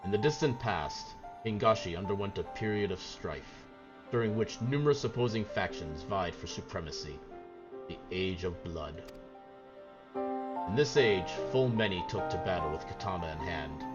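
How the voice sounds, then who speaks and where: A man's voice: sits low, highs cut off, natural voice. A man voicing a solemn samurai master from a video game, speaking in grave, archaic and formal phrases. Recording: game music behind the voice.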